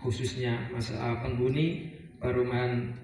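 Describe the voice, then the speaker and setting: A man from Surabaya preaching a relaxed Eid sermon to a housing community, rambling at times and mixing in Arabic prayer phrases. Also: medium pitch, normal speed, slight background noise. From Surabaya, Indonesia. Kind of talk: Eid sermon to a residential congregation. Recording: very echoey, heard from a distance over a PA.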